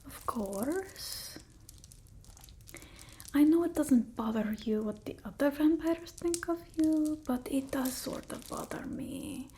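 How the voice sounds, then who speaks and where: A woman voicing a haughty, fussy vampire mistress in a breathy ASMR roleplay, with an imperious, complaining manner. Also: high voice, slight background noise. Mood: haughty, gossipy. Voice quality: soft, breathy whisper. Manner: slow, imperious, fussy complaining with drawn-out words. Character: haughty, fussy female vampire mistress scolding her human familiar. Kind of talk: ASMR roleplay, mostly whispered.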